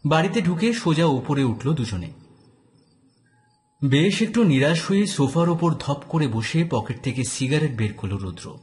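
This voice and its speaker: A man's voice: fairly high pitch, sing-song, a little slow, darkish tone. A man narrating a scripted detective story in a dramatic style.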